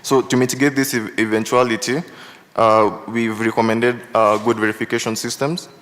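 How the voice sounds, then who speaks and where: A man answering a committee's questions at a hearing, measured and careful, with many pauses. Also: mid-range voice, little pitch movement, a bit bright. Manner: measured, careful, filler-heavy. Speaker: youth council presenter. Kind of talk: formal reply at a hearing. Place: large hearing room. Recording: long hall reverb.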